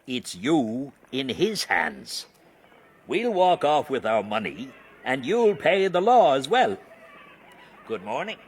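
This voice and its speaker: Crafty gent haggler, coolly threatening, slow drawl w/ big swings in pitch, radio play. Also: male voice, wildly swooping pitch, breathy edge, full character voice. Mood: smug threatening. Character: wily, hard-bargaining gentleman fixer, coolly threatening. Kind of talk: period radio drama acting.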